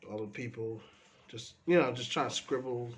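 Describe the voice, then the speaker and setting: A man, stuffy from a cold, casually talking through the pages of his sketchbook in a relaxed, meandering way. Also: medium pitch, normal speed, slight background noise. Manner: relaxed, meandering, trails off often. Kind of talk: casual rambling commentary.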